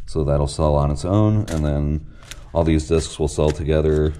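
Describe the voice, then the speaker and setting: A man's voice: a bass voice, fairly even intonation, moderate pace, dark tone. A man vlogging in a casual, unscripted way, with a rambling, hesitant, matter-of-fact delivery. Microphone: action camera worn or handheld. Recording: noisy action camera, traffic and ambient noise.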